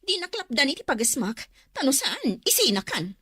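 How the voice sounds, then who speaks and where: A woman's voice: high voice, sing-song, moderate pace, bright, forceful tone, no room sound, studio quality, full character voice. A woman playing a discontented, defiant wife in an Ilocano radio drama, arguing dramatically. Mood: angry, defiant. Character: discontented, sharp-tongued young wife who craves comfort.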